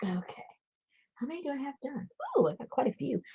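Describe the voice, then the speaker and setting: Chatty, laughing woman with a lively voice. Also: high voice, fairly quick, creaky, husky, dry recording. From Rhode Island. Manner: chatty, laughs often, storytelling. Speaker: craft livestream host. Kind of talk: casual chat while crafting. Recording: dull lo-fi sound.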